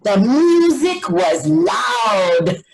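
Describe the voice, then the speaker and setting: A woman reading a children's story aloud as an expressive scripted narration. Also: fairly high pitch, extremely slow, soft background music.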